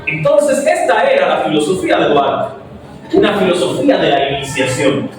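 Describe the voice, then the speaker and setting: Young Dominican man, speech full of rhetorical questions, high animated voice, distant mic in a very echoey hall. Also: normal speed. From Dominican Republic. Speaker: philosophy student presenter. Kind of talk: academic speech. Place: event hall before an audience.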